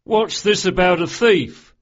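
A man voicing a startled, slow-witted watch sergeant in an audiobook story, blustering out questions and exclamations. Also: sits high, dull audio, pitched above the performer's own voice.

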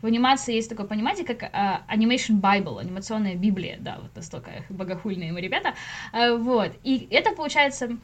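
A woman's voice: a little high, expressive, fast talker. A young woman, a podcast guest, explaining things in a casual, informal way and often thinking aloud with asides.

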